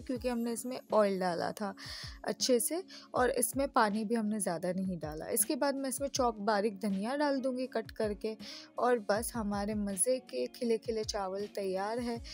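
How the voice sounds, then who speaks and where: A woman from Pakistan with a Pakistani Urdu accent, chatting through a home cooking recipe as host of her channel. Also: a little high. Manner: chatty, friendly, repeats tips. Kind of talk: casual step-by-step cooking voice-over.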